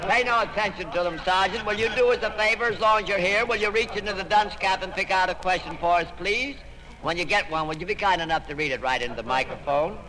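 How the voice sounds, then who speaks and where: A man's voice: high voice, fast talker, bright, forceful tone. A man playing an exasperated, fussy quizmaster in an old radio comedy, officious and sarcastic as he hosts. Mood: officious, polite. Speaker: comic quiz-show host. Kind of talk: 1940s radio comedy hosting. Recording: noisy old radio hall broadcast.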